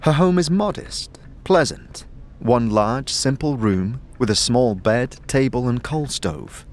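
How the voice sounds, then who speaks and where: A man narrating a fantasy audio drama in the second person, theatrical and deliberate, in short measured clauses. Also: medium pitch, lively intonation. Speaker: narrator and lead player of an audio series.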